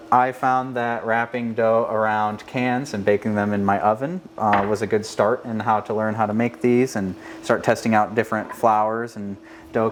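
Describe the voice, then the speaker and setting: A man working at a bakery, explaining the menu in a steady way with many ums and uhs. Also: medium pitch, a little hiss or hum. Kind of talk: casual explanation.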